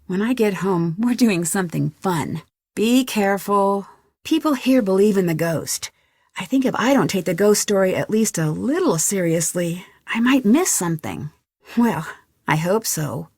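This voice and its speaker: A woman's voice: medium pitch, darkish tone, husky, no echo. Young woman sleuth for a mystery game: mid-range, friendly, earnest, lightly wry, close dry studio sound. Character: young female detective, curious, friendly, level-headed. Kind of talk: conversational game dialogue, one side of phone calls.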